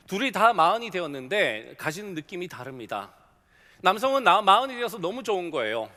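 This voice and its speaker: A middle-aged male pastor preaching a sermon, telling a story and dropping from loud to hushed. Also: on the high side. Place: large church sanctuary. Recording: long hall reverb.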